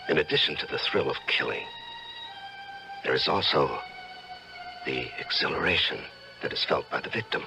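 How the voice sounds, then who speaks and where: An actor playing an unhinged, secretive judge who kills and justifies it, speaking with brooding, theatrical deliberation in an old-time radio drama. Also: male voice, a bass voice, creaky, exaggerated voice, low-quality recording. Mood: exhilarated, chilling. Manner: deliberate, brooding, theatrical, halting when evasive. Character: unhinged murderous male judge, secretive, coolly self-justifying. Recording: radio drama with music underneath.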